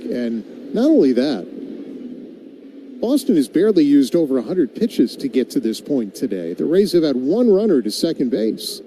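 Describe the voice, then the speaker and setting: A male baseball announcer doing live radio play-by-play. His voice sits a little high for a man but has a very soft, dark tone. There is faint noise behind him.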